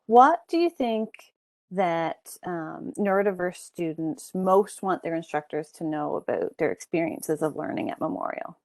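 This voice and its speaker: A woman hosts an online panel in a gentle, slightly low voice. She introduces each speaker warmly, with plenty of "um"s along the way. The sound is close and dry, with no room echo. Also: very animated, measured pace. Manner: friendly, filler-heavy introductions. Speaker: panel moderator.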